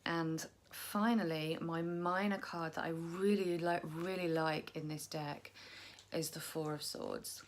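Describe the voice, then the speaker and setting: A young woman chatting casually to camera about her tarot decks, tired and croaky, rambling and poking fun at herself. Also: low voice, fairly even intonation, takes it slowly, slight background noise. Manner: chatty, self-deprecating, rambling. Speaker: tarot vlogger.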